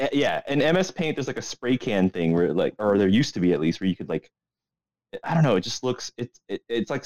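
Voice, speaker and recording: A man's voice: medium pitch, darkish tone, no room sound. A young man co-hosting a podcast in casual, rambling chat full of profanity and slang. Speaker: podcast co-host.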